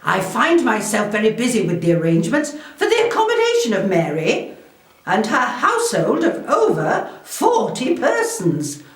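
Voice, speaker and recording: Woman as commanding Tudor noblewoman for a historical monologue: stately, unhurried, formal, with expressive sweeping intonation. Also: medium pitch. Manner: formal, deliberate, theatrical delivery. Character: proud, shrewd Elizabethan noblewoman, wealthy and commanding. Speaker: volunteer historical re-enactor. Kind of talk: scripted first-person historical monologue. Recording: echoey room, distant microphone.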